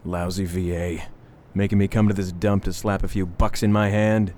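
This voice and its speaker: An actor playing a proud, bitter old army captain in a radio drama, gruff and defiant. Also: male voice, low-pitched, little pitch movement, moderate pace, noisy background, lightly acted. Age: older.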